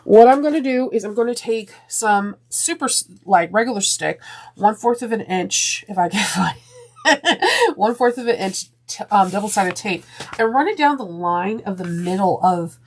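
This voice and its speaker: A woman's voice: mid-range voice, moderate pace, a little mellow. Chatty woman with a congested voice. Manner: chatty, with frequent ums and restarts. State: congested from a sinus infection, voice slightly deeper. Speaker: sewing tutorial host. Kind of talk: step-by-step sewing tutorial.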